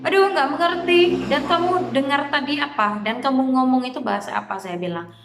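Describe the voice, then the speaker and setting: A woman's voice: sits high, noisy background. Hesitant Cambodian-accented woman in an echoey room. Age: young adult. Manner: hesitant, many fillers and pauses. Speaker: vlogger. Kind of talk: casual vlog monologue.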